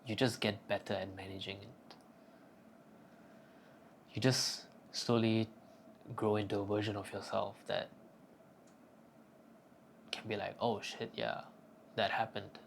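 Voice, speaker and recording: A young man talks straight to camera with cocky, crude humor, handing out blunt advice. His voice sits low and carries a gravelly fry. Faint noise hums underneath. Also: flat delivery, slow pace, pauses often. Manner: cocky, profane, joking bravado. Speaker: self-improvement vlogger. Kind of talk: casual crude advice monologue.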